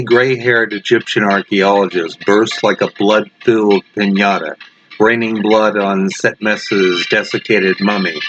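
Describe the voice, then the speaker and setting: Slow man narrating horror over loud noise. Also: on the low side. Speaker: story reader. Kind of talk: scripted horror story narration. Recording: muffled lo-fi with loud background noise.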